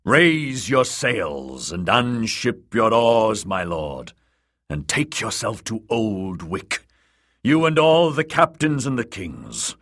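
Man playing slow, fervent sea-god prophet. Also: on the low side, very animated, fairly bright tone, pitched above the performer's own voice, full character voice. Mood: commanding. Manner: solemn, commanding, exhortative preaching. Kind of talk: dramatised audiobook character voice.

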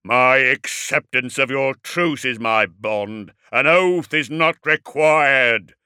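A man voicing a proud, wrathful ancient warrior entombed in a war machine, booming and roaring slowly in an audiobook. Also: animated, sharp tone, no room sound, clean studio sound, full character voice. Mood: proud indignation. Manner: slow, declamatory, indignant. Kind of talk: audiobook character acting.

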